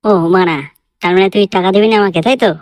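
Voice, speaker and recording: A woman playing a crude, lovesick, hot-tempered young son in a comic cartoon, ranting quickly. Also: medium pitch, steady tone, darkish tone, no echo, close to an everyday voice. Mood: angry, ranting. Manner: fast, ranting, crude swearing.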